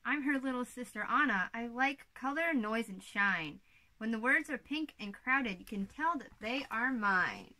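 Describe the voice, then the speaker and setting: An exuberant little-sister princess, acted out by a young woman reads a children's storybook. The voice sits high and rings bright. The delivery is playful, bubbly and theatrical. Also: expressive, unhurried, poor audio. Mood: eager. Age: young adult. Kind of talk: storybook read-aloud for children.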